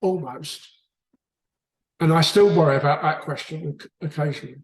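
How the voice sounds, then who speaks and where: A man's voice: a little high, moderate pace, reverberant. A man from the UK with a British accent, chatting casually in a book club discussion, rambling and often restarting his sentences. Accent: British English.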